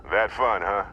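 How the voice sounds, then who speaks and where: An actor playing a breezy, wry space explorer in a video game, with a playful, teasing delivery. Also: male voice, on the low side, full character voice. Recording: dull sound, loud aircraft-like noise behind.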